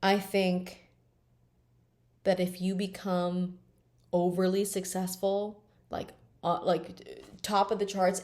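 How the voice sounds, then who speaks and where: A woman's voice: lowish voice, dark tone. A woman in her late twenties talking bluntly and emphatically in a candid personal vlog, swearing freely. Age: 20s. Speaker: vlogger.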